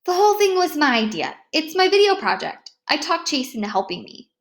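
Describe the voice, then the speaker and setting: A woman's voice: high voice, very animated, a little fast, pitched above the performer's own voice. A woman voicing an earnest, apologetic, nerdy middle-school boy in a storybook read-aloud. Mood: weak, pleading.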